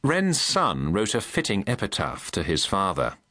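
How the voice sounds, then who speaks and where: A British man reading scripted guidebook narration as an audio tour guide, in a measured, formal, clearly enunciated delivery. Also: sits low, huge pitch swings, sharp tone, no room sound, studio quality. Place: recording studio.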